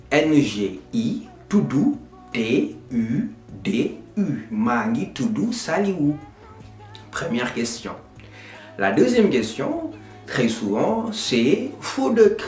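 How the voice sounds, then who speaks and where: A man teaches slowly and patiently, spelling each word out letter by letter. His pitch swings widely as he stresses words. He is recorded in an echoey room with faint music behind him. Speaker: language teacher. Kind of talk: Wolof lesson in French.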